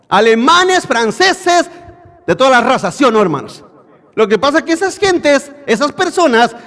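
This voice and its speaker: A man's voice: very high voice, fairly bright tone, long reverb tail. A man preaching a sermon, calling his listeners brothers and asking them rhetorical questions. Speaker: pastor. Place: church in Bakersfield, California.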